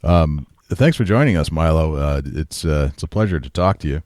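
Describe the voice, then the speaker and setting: He is an eager podcast host who keeps the chat going, with a very deep voice and a dry, close sound. He nods along and agrees often. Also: lively intonation, normal speed, a little mellow, no echo. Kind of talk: casual podcast interview.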